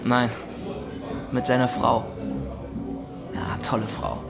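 A mid-pitched, casual male barkeeper in a radio drama, music clearly playing behind him. He is gossipy and obliging. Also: steady tone, slow pace. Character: chatty, obliging male barkeeper who gossips about guests.

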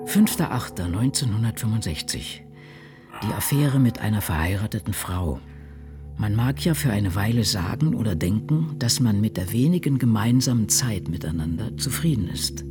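A man playing a brooding, anguished novelist in a radio drama, speaking diary entries in a measured, introspective and intimate way. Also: fairly low pitch, lively intonation, over music, close to an everyday voice. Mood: brooding, bitter.

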